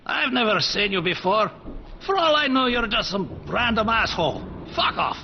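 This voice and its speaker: Game bit part: old villager, surly but cowed, raised nervy voice, faint noise. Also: male voice, sits high, expressive, moderate pace, lo-fi sound. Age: older. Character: cowering, resentful old male villager. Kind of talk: cinematic video game acting.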